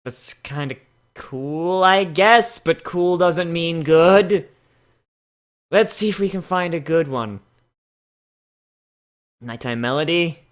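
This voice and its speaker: A man's voice: a little high, slow pace, long pauses. A man giving casual, mocking comedic commentary as the host of a reading show. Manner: sarcastic, mocking.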